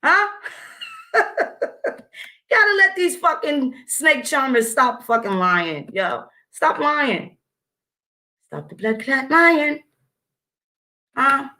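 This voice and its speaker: A woman with a high, sharp, bright voice talks straight at her audience in a blunt, swearing vlog rant. She mixes combative commentary with reading official text aloud. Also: big pitch swings, very slow, pauses often. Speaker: vlogger.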